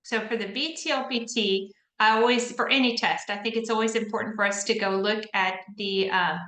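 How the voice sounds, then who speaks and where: Woman tutor on a video call, bright and fairly high voice, roomy echo, chatty and informal. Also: fairly even intonation, fairly quick. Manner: chatty, informal, frequent ums and "right?" checks. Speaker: test-prep tutor. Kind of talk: online group tutoring session. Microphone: computer mic on a video call.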